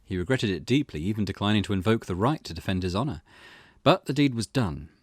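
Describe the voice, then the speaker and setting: A man with a fairly low, animated voice hosts a game playthrough. He moves between casual commentary and expressive reading of on-screen story text. The sound is close and dry. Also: fast talker, husky, no room sound. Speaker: gaming channel host.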